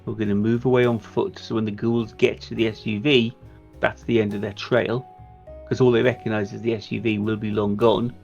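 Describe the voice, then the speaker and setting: Man, gravelly creak, assertive wry game player, slightly dull recording, faint music. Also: on the low side, little pitch movement, brisk, darkish tone. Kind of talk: casual game planning chat.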